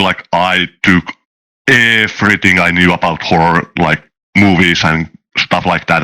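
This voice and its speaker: Low, bright-voiced man telling stories. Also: rough recording. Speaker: game master guest on a horror podcast. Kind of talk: casual anecdote-telling.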